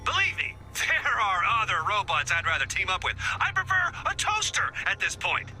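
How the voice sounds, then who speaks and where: A man's voice: mid-range voice, big pitch swings, brisk, pushed and bright, low-quality recording. A man voicing a wry, slightly awkward resistance leader in a video game, talking conversationally. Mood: exasperated. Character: wry, hesitant male resistance leader rallying the player. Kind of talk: video game character dialogue. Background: music.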